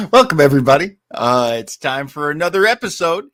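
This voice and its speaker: A man's voice: fairly high pitch, big pitch swings, a little slow, pushed and bright, no echo, studio quality. A man hosting an old-time radio listening show in casual livestream chat, rambling along in a jokey, self-deprecating way.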